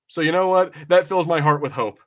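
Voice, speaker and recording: A man's voice: on the high side, a little fast. A young adult man in casual podcast banter, brash and teasing, swearing freely as he talks. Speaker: podcast co-host. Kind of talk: casual watch-party banter.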